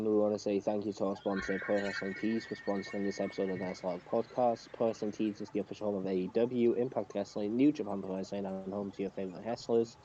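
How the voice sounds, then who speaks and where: A man's voice: on the low side, dark tone. A man co-hosting a wrestling podcast in casual talk over a muffled, noisy voice call. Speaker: podcast co-host.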